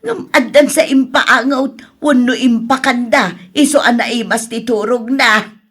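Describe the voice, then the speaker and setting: An actress as an insistent grandmother in a radio drama, speaking slowly in a slightly high voice. She is boastful and quick to get distraught. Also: fairly bright tone, slightly rough. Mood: alarmed, tearful. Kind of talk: Ilocano radio drama acting.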